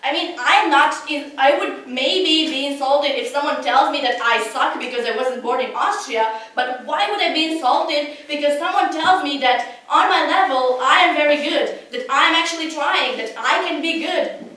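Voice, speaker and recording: A woman's voice: high voice, moderate pace, bright, forceful tone. A young woman whose first language is not English, giving an earnest competitive debate speech with frequent restarts. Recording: echoey hall from far off.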